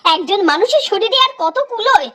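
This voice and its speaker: A woman's voice: extremely high pitch, expressive, fairly quick, bright, forceful tone, full character voice. A woman playing a weary, complaining, superstitious village housewife in a horror cartoon, speaking plaintively in a rustic way over music. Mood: grumbling.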